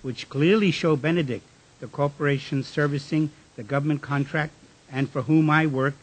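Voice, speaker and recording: A desperate, earnest whistleblower from a radio drama, acted by a man. The voice sits a little high and has a husky scrape, with a solemn, pleading delivery. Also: fairly even intonation, normal speed, rough recording. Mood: earnest, distracted.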